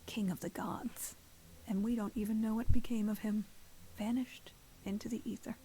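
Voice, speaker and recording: A woman playing a stern, weary goddess who leads the gods in a video game, speaking in a measured, formal way. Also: on the high side, steady tone, mellow, a little hiss or hum, close to an everyday voice, rough recording. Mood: solemn, urgent.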